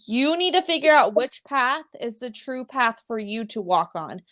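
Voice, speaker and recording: High-voiced woman reading spirit cards. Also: moderate pace. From Pennsylvania. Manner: calm, instructive card reading. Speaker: psychic reader.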